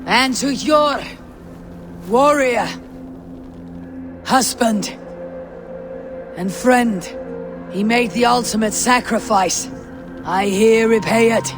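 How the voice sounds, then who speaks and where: A woman voicing a steadfast, solemn Viking warrior in a video game, with grave, measured, formal delivery. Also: on the high side. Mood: solemn, proud. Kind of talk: dramatic video game acting. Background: music.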